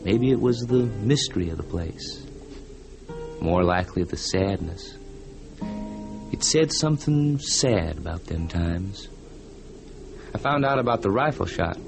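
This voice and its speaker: Low-voiced folksy American frontier storyteller. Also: male voice, a little slow, gravelly. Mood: wistful, reflective. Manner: plainspoken, reflective storytelling. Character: folksy rural frontier man recounting a local haunting. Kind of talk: old-time radio drama narration. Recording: music behind the voice.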